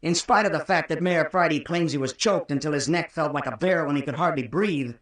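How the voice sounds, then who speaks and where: A man's voice: a little high, fairly quick, fairly bright tone, lightly acted. An actor playing an earnest, suspicious young American hero, protective of his sweetheart, in an old-time radio horror drama. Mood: accusing, insistent. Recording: roomy, distant old radio recording.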